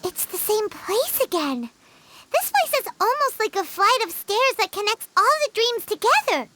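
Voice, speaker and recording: A tiny fairy sidekick chirps in an extremely high, swooping voice. She is childlike, excitable and naive, a game character who talks about herself in the third person. Loud game sound sits behind her. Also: moderate pace, heavy background noise. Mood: puzzled. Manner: refers to self in third person. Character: small childlike floating fairy girl companion, excitable and naive. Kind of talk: English video game voice acting.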